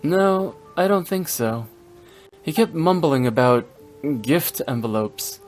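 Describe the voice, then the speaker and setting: A man's voice: fairly high pitch, lively intonation, slow pace. An actor playing a polite, sensible young fox-eared man in a video game, in an articulate conversational manner. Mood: friendly, wry. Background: music.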